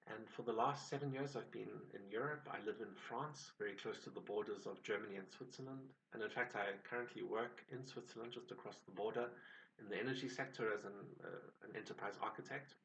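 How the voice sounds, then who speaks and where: A man with a South African accent, introducing himself on his own channel in a measured, reflective way. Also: lowish voice, gravelly, fairly bright tone, far from the mic, slight background noise, low-quality recording. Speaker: channel host. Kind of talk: personal introduction to camera.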